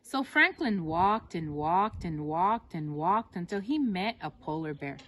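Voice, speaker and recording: A woman narrating a children's story aloud as a storyteller for young listeners, with expressive, emphatic phrasing. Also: fairly low pitch, unhurried, slight background noise.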